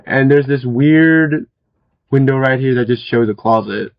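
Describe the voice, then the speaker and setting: Hoarse young man giving a game tour. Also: medium pitch, unhurried, highs cut off. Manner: chatty, with frequent fillers and throat clearing. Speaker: gaming video host. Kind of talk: casual game walkthrough commentary.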